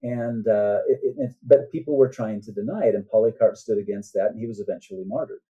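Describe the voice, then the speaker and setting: A man's voice: on the low side, quick pace, warm tone, husky, lo-fi sound. A man speaking as an author guest on a podcast, explaining things earnestly in a conversational way and often checking in with the listener.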